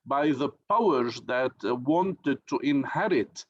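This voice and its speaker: A man's voice: mid-range voice, lively intonation, a little slow. Professor guy on a lo-fi zoom call, hesitant, tons of uhs and restarts. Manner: hesitant, many fillers and restarts. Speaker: professor, panelist. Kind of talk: online conference talk. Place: online video call. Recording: dull lo-fi video call audio.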